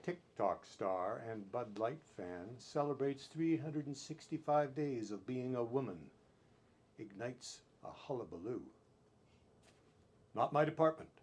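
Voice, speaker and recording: A man performing spoken-word poetry as a poet, with deliberate, theatrical delivery in short phrases broken by pauses, on a live-stream recording. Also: medium pitch, animated, darkish tone, slight background noise. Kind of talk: dramatic spoken-word poetry reading, with brief chatty asides.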